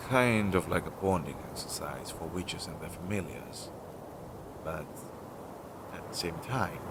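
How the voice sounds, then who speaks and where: A man playing an anxious, insecure but tender crow familiar in an audio roleplay, talking to the listener in a hesitant, earnest way. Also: heavily acted. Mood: resigned, explaining. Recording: clearly noisy low-quality recording.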